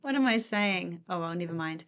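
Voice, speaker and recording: A woman's voice: fairly low pitch, expressive, moderate pace, a bit bright, clean tone. A middle-aged woman chatting and rambling as she walks through a discount store, self-deprecating and casual. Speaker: shopping vlogger. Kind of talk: casual shop walk-through vlog.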